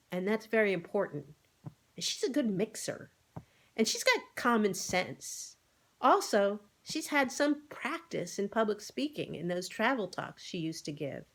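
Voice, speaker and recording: A woman voicing a bossy, excitable male pig who makes emphatic, persuasive speeches in an animal storybook. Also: mid-range voice. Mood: reasoned. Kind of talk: animal storybook read-aloud.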